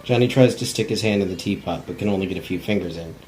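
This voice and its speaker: A man's voice: low voice, quick pace, a little mellow, poor audio. A man narrating stage directions in a plain, unpolished cold reading of a script. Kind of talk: scripted narration at a table read. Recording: faint background noise.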